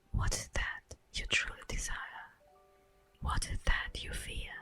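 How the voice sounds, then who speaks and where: An immortal elf woman, played by a woman, whispers a fantasy ASMR monologue over music. Her breathy delivery is very slow and solemn, with poetic phrasing and long pauses. She sounds tender and wistful, with strongly rising and falling intonation. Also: pushed and bright. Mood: solemn, patient.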